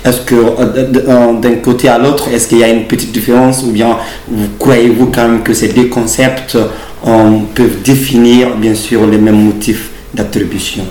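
Man, mid pitch, formal hesitant questions, echoey room, faint noise. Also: level tone, normal speed, mellow. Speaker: interviewer, journalist. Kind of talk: formal radio interview.

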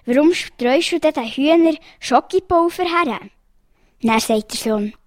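A woman reading jokes aloud in a lively storytelling way, changing her voice for the quoted lines. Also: high voice, measured pace, dry recording, clean studio sound.

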